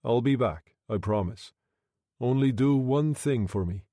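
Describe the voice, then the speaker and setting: A man voicing a calm, quietly menacing Irish gentleman who speaks in a soft, conversational tone. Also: medium pitch, unhurried, no echo, clean studio sound, close to an everyday voice. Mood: quiet menace.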